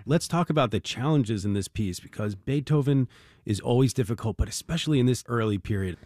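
Męski głos prowadzącego, suche nagranie. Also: male voice, medium pitch, a little fast, darkish tone, dry recording, clean studio sound. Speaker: radio music show host. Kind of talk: interviewing young musicians, warm introductions.

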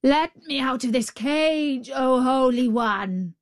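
A woman's voice: fairly high pitch, slow. A woman voicing a sly, boastful, hungry male tiger in a storybook tale, pleading and gloating with a growl. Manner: pleading, then gloating and menacing. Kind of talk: storybook character voice.

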